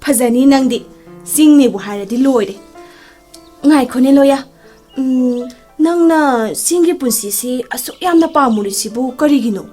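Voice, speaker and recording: High, rapid young sweetheart played by an actress. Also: female voice, warm tone, soft background music, lightly acted. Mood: admiring, tender. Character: sulky young sweetheart, proud, testing but tender. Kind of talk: romantic radio play acting.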